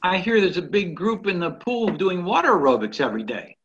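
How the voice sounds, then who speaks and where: A man's voice: high voice, very animated, brisk, a bit bright. An actor playing a fussy buddy who nitpicks and stammers over his corrections, heard through muffled call audio.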